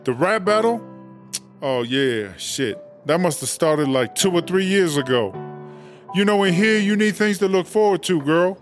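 A man's voice: high voice, animated, a little slow, soft background music. A man playing a brash, vain, bossy female prison inmate in a comedic audio drama, with sassy, slangy talk. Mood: chatty, boastful. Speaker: interviewed inmate character.

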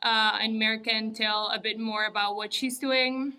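A woman's voice: fairly high pitch, steady tone, measured pace, pushed and bright, clean tone. A woman from Uruguay, a native Spanish speaker with a Uruguayan Spanish accent, talking casually as a podcast guest. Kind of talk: casual interview talk.

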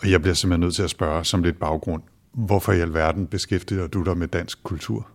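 A man hosting a podcast, reading narration and asking questions in a measured way. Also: deep voice, steady tone, no echo. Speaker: podcast host. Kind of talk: scripted narration and interviewing.

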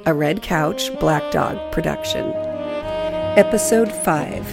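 A woman reads a scripted show introduction as announcer. Her voice is lowish and gravelly, under loud brass and guitar music. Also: slow pace.

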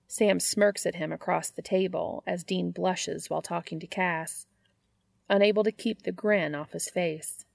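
A woman's voice: on the low side, vocal fry, no room sound, studio quality. A woman reading fan fiction aloud as scripted story narration.

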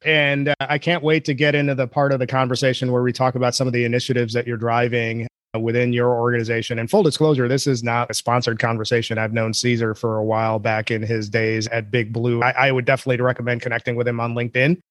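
A man's voice: medium pitch, little pitch movement, quick pace, dry recording, studio quality. Enthusiastic man hosting a podcast. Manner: enthusiastic, jokey, self-described nerd. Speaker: podcast host.

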